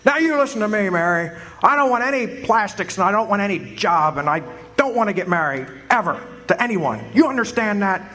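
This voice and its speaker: Bright, high-voiced stammering young suitor, played by a man, live radio-play reading. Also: expressive, brisk. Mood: outburst. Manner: stammering, blustery. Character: flustered young small-town man. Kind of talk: live amateur radio drama.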